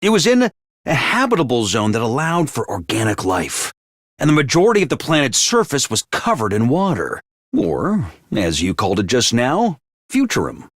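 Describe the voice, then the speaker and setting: A man's voice: mid-range voice, huge pitch swings, normal speed, a bit bright, no echo, clean studio sound. A man voicing a chipper, cheerfully ruthless commanding officer of child soldiers in a video game, with bouncy drill-sergeant cheer over cold pragmatism. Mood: explaining. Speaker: voice actor for a video game character. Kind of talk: game character voice lines.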